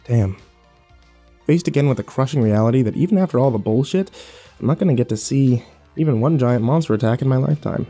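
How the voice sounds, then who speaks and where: A man's voice: mid-range voice, lively intonation, fast talker, mellow, soft background music. A man playing a weary, sarcastic young survivor who narrates and talks in an informal first-person audio drama. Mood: deadpan, exasperated. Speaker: actor and narrator.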